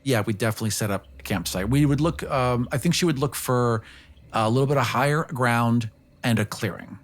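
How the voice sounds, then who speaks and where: A man's voice: mid-range voice, fairly quick. A man chatting casually as a player in a tabletop role-playing game, thinking through his character's actions.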